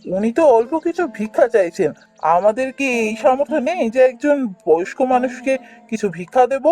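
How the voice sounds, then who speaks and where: A woman's voice: high voice, animated, fairly quick, dark tone, faint music behind. A woman voicing a principled, kind-hearted younger brother in a fairy-tale cartoon, earnest and firm. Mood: indignant.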